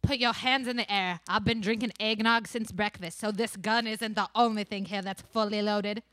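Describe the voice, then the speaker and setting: A woman's voice: medium pitch, fairly even intonation, moderate pace, fairly bright tone, close to an everyday voice. A young woman playing a defiant, commanding elf revolutionary in comic radio theater, with theatrical delivery. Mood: menacing. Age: young adult. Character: defiant elf revolutionary posing as Santa's mistress. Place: small studio stage, indoors. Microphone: close boom mic.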